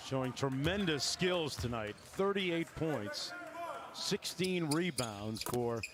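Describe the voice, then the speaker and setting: Male sports announcer, somewhat high register. Polished, emphatic live game calls. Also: expressive, slow pace. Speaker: TV basketball play-by-play announcer. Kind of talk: professional live sports play-by-play.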